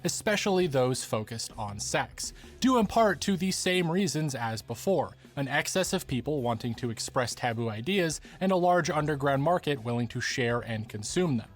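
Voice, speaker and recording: A man's voice: medium pitch, animated, normal speed, few pauses, soft background music. A man reading scripted documentary narration for a video essay.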